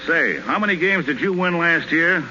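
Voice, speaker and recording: Actor as gruff, sarcastic 1930s baseball captain. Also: male voice, fairly high pitch, expressive, moderate pace, natural voice. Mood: dry sarcasm. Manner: wisecracking, barking, slangy. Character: gruff, sarcastic baseball team captain bossing his players. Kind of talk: 1930s radio comedy acting. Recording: muffled, noisy 1930s radio broadcast recording.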